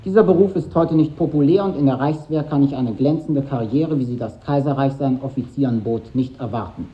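A man's voice: mid-range voice, a little fast, lightly acted. Upper-class schoolboy, male actor, solemnly proud, reading aloud, vintage radio drama, dull recording. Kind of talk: 1950s German radio drama acting. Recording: muffled old radio recording.

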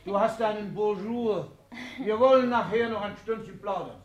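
A man's voice: sits high, normal speed, highs cut off, lightly acted. A man playing an effusive, commanding patriarch in a 1960s German radio drama, declaiming grandly. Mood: hearty. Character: effusive, commanding bourgeois patriarch hosting a soirée.

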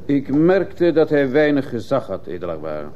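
A man's voice: mid-range voice, big pitch swings, normal speed, close to an everyday voice. An actor playing a stiff old disciplinarian sergeant in a radio drama, deferential as he testifies. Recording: muffled old radio play, faint noise.

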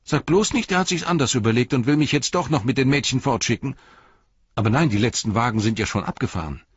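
A man reading a storybook voices a brash, eager young boy in a raised, husky voice. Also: mid-range voice, no echo, dull audio, voice pushed up. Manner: brash, excited, fast.

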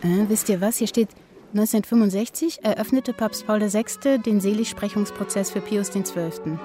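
A woman's voice: medium pitch, normal speed, music in the background, close to an everyday voice. A woman playing a curious reader who shares findings casually with companions in a radio play. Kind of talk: conversational radio play dialogue.

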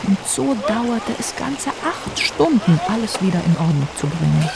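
A woman's voice: medium pitch, animated, moderate pace, vocal fry, very noisy. A woman narrating a literary radio play as a composed storyteller.

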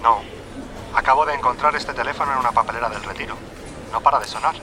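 A man's voice: medium pitch, quick pace, crowd noise, rough recording. A man playing a brusque, impatient stranger on a telephone in an audio drama. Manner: curt, impatient. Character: brusque male stranger answering a found phone.

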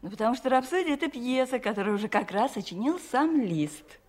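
A woman's voice: on the high side, lively intonation, brisk, a little mellow. A woman playing a patient, affectionate mother instructing her young son in a radio play. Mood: patient, instructive. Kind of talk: 1970s radio play acting.

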